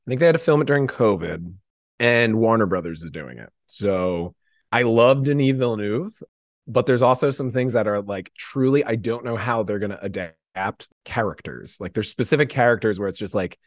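A man chatting casually as a podcast guest in a rambling, animated, slangy way. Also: on the low side, no echo, clean studio sound. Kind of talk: casual chat.

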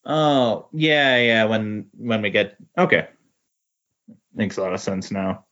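A man chatting casually about comics on a podcast, halting and repeating words as he thinks. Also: fairly low pitch, expressive, a bit bright. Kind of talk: casual comic book discussion. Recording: dull lo-fi voice recording.

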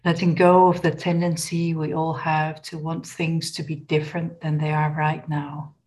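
A woman leading a guided body scan meditation in a gentle, unhurried, instructional manner. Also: deep voice, flat delivery.

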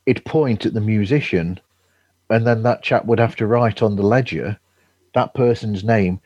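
A man chatting casually as a podcast host, halting and rambling, often chuckling. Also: on the low side, darkish tone, breathy edge. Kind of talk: casual remote chat. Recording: dull, roomy remote call audio.

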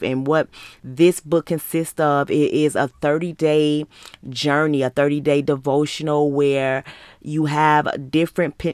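Female podcast host, thirties, American accent. Low-pitched, smooth and resonant, earnest motivational delivery. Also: dry recording, clean studio sound. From Michigan, United States. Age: 30s. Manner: earnest, encouraging, conversational. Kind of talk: personal podcast monologue, faith-based motivational talk.

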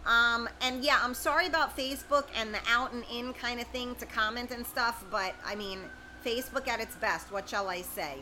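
A middle-aged woman hosts a home livestream, reading out viewer comments and answering each one by name. Her voice sits a little high and has a bright, forceful edge. A faint steady background hum runs under her talk. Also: moderate pace. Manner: chatty, informal, talks straight to viewers by name. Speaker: livestream host.

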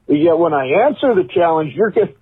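A man in his fifties argues on a recorded phone call. His high voice comes thin and tinny down the line, combative and full of profane insults. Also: expressive, a little fast, slightly rough, no echo. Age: 50s. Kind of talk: confrontational phone argument. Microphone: telephone.

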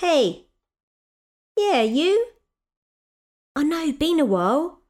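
Woman acting busy mum, audio drama, slow-paced, slightly high, dry humour, patient. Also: big pitch swings, music in the background, heavily acted. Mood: chatty, confiding. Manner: wry, dry, unhurried. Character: busy mother of four, wry and patient. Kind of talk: naturalistic audio drama dialogue.

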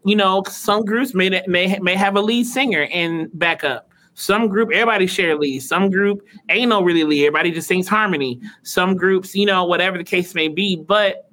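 A male vocal coach, a guest on a talk show, explaining singing in a relaxed, rambling way with lots of "you know", in his naturally high voice. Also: normal speed, few pauses. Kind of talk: casual interview chat.

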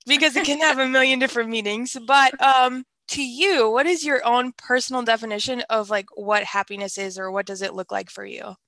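A woman's voice: fairly high pitch, sharp tone, no echo. Chatty young woman hosting a podcast. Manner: upbeat, chatty, many fillers. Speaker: podcast host. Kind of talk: casual podcast chat.

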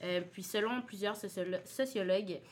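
A woman's voice: mid-range voice, normal speed. A young woman hosting a casual podcast, playful and laughing, with English words mixed in. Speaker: podcast host.

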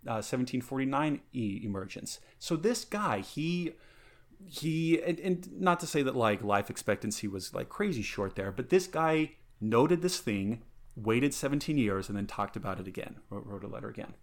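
Excitable man chatting on a podcast. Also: mid-range voice, normal speed. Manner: excitable, many restarts and fillers. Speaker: podcast co-host. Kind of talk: casual chat.

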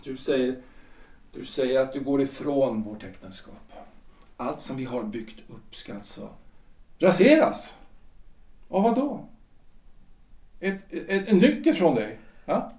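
An actor playing a troubled husband in his forties, a politician of 1906, in an audio drama with halting, repetitive, formal speech. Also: mid-range voice, very animated, a little mellow, natural voice. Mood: indignant, sarcastic. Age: 40s. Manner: halting, repeats words, formal. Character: wounded, agitated husband, lawyer and politician of forty-three. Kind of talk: period stage-play audio drama acting. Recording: roomy, distant from the mic.

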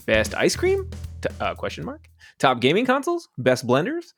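Lively jokey man hosting a podcast. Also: a little high, moderate pace, music in the background. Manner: animated, jokey, laughs often. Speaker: podcast host. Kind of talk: casual podcast chat.

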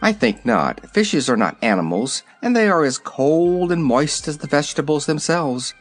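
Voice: medium pitch, very animated, moderate pace, soft background music, voice pushed up. A narrator voicing a little male humbug wizard in an audiobook, candid and explanatory. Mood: matter-of-fact. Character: little old humbug wizard, kindly showman. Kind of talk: audiobook character voice.

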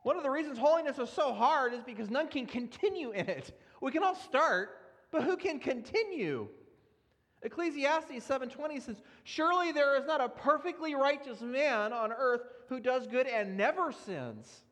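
A man's voice: very high-pitched, animated, moderate pace, a bit bright. A man preaching a sermon, earnest and emphatic as he works through scripture readings. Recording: long reverb of a large hall.

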